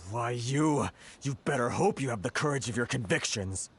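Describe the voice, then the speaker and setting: An actor playing an anxious, deferential older merchant in a video game, with a worried and hesitant manner. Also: male voice, mid-range voice, animated, normal speed, a little hiss or hum, lightly acted. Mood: indignant.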